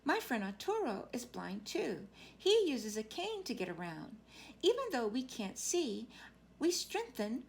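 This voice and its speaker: A woman reads a children's book aloud in a fairly high voice that rises and falls in a very lively way. She phrases deliberately, like a teacher addressing young listeners. There is faint noise behind her. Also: creaky. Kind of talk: expressive children's read-aloud narration.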